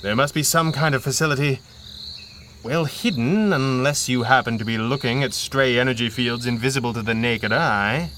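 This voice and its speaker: An actor playing a suave, unflappable masked crime-fighter in a pulp radio adventure, speaking in a dry, formal, articulate and gently teasing way. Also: male voice, medium pitch, moderate pace, slight background noise, natural voice. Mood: intrigued, analytical.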